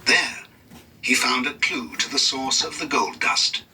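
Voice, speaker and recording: A man reading a scripted children's story as narrator, in an expressive, dramatic read-along style. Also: mid-range voice, unhurried, far from the mic, slight background noise.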